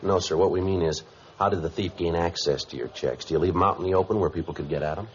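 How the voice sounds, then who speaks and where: An actor playing a terse, businesslike police detective who also narrates, deadpan and matter-of-fact, in a 1950s radio drama. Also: male voice, low-pitched, quick pace, slight background noise, close to an everyday voice. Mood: polite, probing. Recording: dull vintage radio recording with music.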